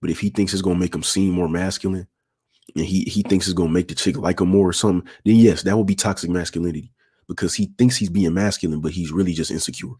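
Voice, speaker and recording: A young man with a raspy voice, talking in a laid-back, smooth way and repeating himself as he hosts a casual livestream. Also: low-pitched, fairly even intonation, quick pace, darkish tone. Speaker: livestream host.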